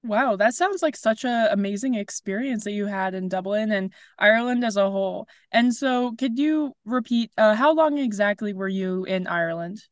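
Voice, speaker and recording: A woman's voice: mid-range voice, normal speed, hardly any pauses, no echo, clean studio sound. A young woman hosting an interview, asking questions and giving encouraging replies like "wow" and "very nice.". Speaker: podcast host.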